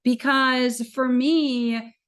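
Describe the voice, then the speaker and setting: A woman's voice: sits high, fairly even intonation, very slow, a bit bright, clear, resonant tone. A woman with an American accent moderating an online panel in an informal, conversational way. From United States, Indiana. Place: virtual event video call.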